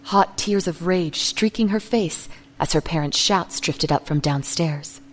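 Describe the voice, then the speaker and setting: A woman's voice: fairly low pitch, a little slow, soft background music. A woman narrator reading a scripted, dramatic story.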